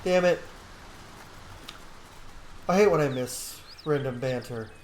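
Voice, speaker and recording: A man's voice: medium pitch, slow pace, a little hiss or hum. A man doing casual gameplay commentary as a video host, talking to himself informally and swearing now and then.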